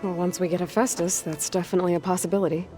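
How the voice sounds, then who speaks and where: A woman's voice: lowish voice, steady tone, racing, warm tone, soft background music, lightly acted. A woman playing a young, determined outcast hunter in a video game, speaking plainly with terse, dry humor. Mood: matter-of-fact. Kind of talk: naturalistic video game acting.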